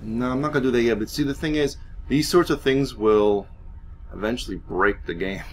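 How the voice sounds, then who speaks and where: A man's voice: mid-range voice, fairly quick, slight background noise. A man giving casual, rambling game commentary, thinking aloud hesitantly as he plays. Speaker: gaming YouTuber narrating his playthrough.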